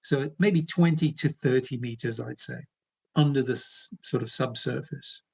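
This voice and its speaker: A man's voice: medium pitch, moderate pace, gravelly, slightly rough. Man explaining archaeology at an easy pace. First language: English. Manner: relaxed, explanatory, with fillers and restarts. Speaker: archaeologist guest. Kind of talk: interview explanation.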